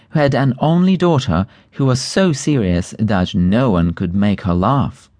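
A man's voice: medium pitch, a little mellow, no echo. A man narrating a fairy tale audiobook in a scripted storytelling style.

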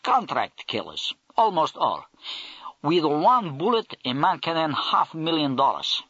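A man's voice: on the high side, sing-song, a little slow, bright, forceful tone, breathy edge, dry recording, highs cut off, pitched above the performer's own voice, full character voice. A man reading an audiobook, voicing a big, blunt Russian army major with broken English and a Russian accent.

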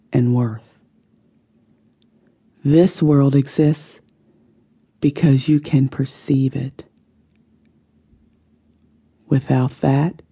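A woman narrates a guided meditation in a very dark, relaxed voice with a breathy, husky grain. She speaks slowly and deliberately, soothing the listener and letting each phrase trail off softly. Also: low voice. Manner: slow, soothing, deliberate delivery with soft phrase endings. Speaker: audiobook narrator.

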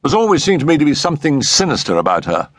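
A man's voice: on the high side, very animated, fast talker, no room sound, clean studio sound, pitched above the performer's own voice. A man voicing an anxious, frank and earnest male doctor in an audiobook story. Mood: frank, uneasy.

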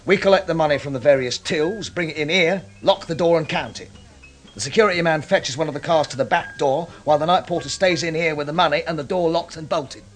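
Actor as a surly club boss, music behind. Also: male voice, a little high, close to an everyday voice. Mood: curt, explaining. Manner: curt, menacing, deliberate. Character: surly, self-important shady male nightclub boss. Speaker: nightclub owner character. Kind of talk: British radio crime drama acting.

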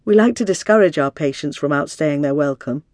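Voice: medium pitch, a little fast, darkish tone, no room sound, studio quality, lightly acted. An audiobook narrator voicing a flustered, exasperated male hospital doctor with a formal way of speaking. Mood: strained, placating. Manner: formal, raises voice to plead for order.

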